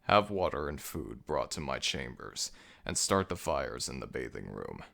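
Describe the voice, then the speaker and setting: A man playing a commanding, brooding ancient jackal god of the dead in an ASMR audio roleplay, with a gravelly, very deep voice and a slow, imperious delivery. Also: very animated, bright, forceful tone, full character voice. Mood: grave, explanatory.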